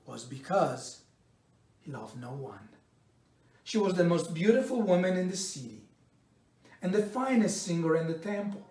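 A middle-aged man reads a picture book aloud in a measured, expressive storytelling way, pausing between phrases. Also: sits high. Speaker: storybook reader. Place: indoors in a bright home room by a window. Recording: roomy echo, distant microphone.

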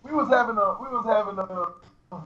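A man's voice: high-pitched, moderate pace. A man giving a casual interview in loose street slang, with repeated verbal tags. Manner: loose, repeats "you know what I mean". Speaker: interview guest, rapper. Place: indoor studio room, on a video call. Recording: echoey, dull, noisy video-call audio.

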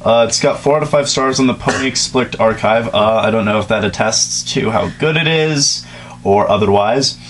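Young male co-host, mid-range pitch, reads casually and sarcastically with stumbles, slight background hum. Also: moderate pace, fairly bright tone. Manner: sarcastic, stumbling over words when reading. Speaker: co-host reading fan fiction aloud. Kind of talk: casual read-aloud with joking asides. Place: small bedroom, indoors at night.

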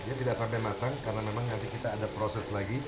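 A man's voice: lowish voice, level tone, rapid-fire. A middle-aged Indonesian man, a chef demonstrating a recipe step by step and mixing English cooking terms into his speech. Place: TV studio kitchen set, indoors. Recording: loud background music, sizzling pan. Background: loud music and frying sounds.

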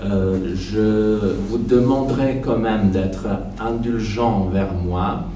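A man in his forties, not a native French speaker, giving an academic lecture at a conference, pausing often with fillers as he speaks into a handheld microphone. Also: on the low side, mellow, slight background noise. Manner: careful, hesitant with frequent pauses and fillers. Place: conference hall, seated at a panel table. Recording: echoey hall, slightly distant.

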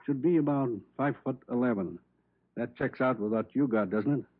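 A man's voice: medium pitch, moderate pace, breathy edge, dry recording, phone-quality sound, close to an everyday voice. An actor playing a methodical, terse American police forensics man in an old radio crime drama, matter-of-fact and clipped. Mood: clinical. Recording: thin old radio recording.

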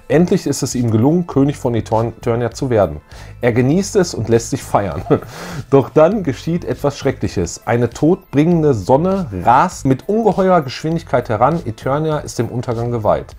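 A man's voice: medium pitch, animated, normal speed, dark tone, breathy edge, faint music behind. A middle-aged man co-hosting a fan show, chatting and reading aloud in an informal way. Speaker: co-host of a retro fan show. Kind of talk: casual chat, some reading aloud. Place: small room full of posters and collectibles.

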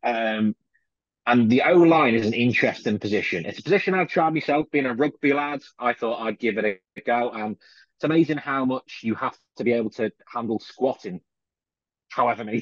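A middle-aged man hosts over a video call at a medium pitch. He asks long, winding questions and leans on the word "obviously". The sound is dry and dull, like call audio. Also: expressive, moderate pace, no echo. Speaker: podcast host. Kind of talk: interviewing. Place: home room indoors.

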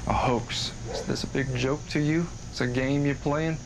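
A man's voice: mid-range voice, fairly even intonation, normal speed, gravelly, close to an everyday voice. A man playing a blunt, skeptical police detective with a sarcastic, accusatory way of talking in a found-footage horror film. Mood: skeptical, stern. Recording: outdoor audio with insect noise.

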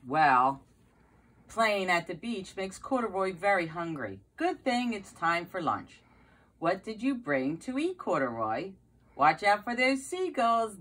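Slow, lively low-voiced woman reading to children. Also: slightly rough. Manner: exclaiming and asking questions for young children. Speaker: preschool teacher reading aloud. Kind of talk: children's picture-book read-aloud. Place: carpeted basement room at home, indoors.

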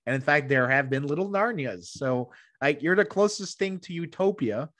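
A man's voice: a little high, animated, moderate pace, fairly bright tone, no room sound. A man in his thirties arguing conversationally as a podcast guest, emphatic, often repeating words as he goes. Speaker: podcast guest, economic historian. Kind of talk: conversational interview argument. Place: small room at home, video call. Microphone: close desktop microphone.

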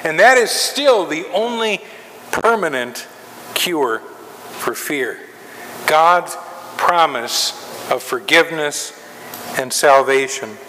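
A middle-aged male pastor delivers a sermon at a pulpit, slow and emphatic, leaving long pauses between phrases. His voice sits high and bright. It carries through a large church hall with a long reverb and a faint hum of room noise. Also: animated. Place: large wood-paneled church sanctuary, at a pulpit. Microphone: far camera, pulpit mic.

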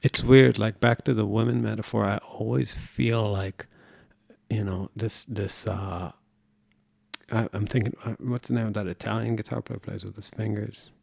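A man's voice: fairly low pitch, fairly even intonation, normal speed, creaky, a little mellow, no room sound. A man co-hosting a music podcast in casual chat, rambling and opinionated with crude language.

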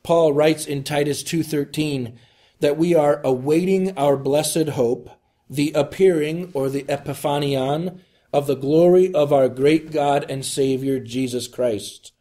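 A man delivering a scripted homily as a preacher, in solemn, deliberate phrases. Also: fairly high pitch, warm tone.

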